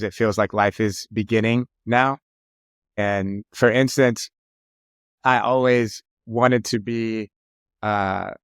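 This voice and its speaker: A man's voice: medium pitch, clear, resonant tone, no room sound, studio quality. Reflective man in his forties hosting. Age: 40s. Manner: reflective, thoughtful questions. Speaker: podcast host. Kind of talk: casual interview chat.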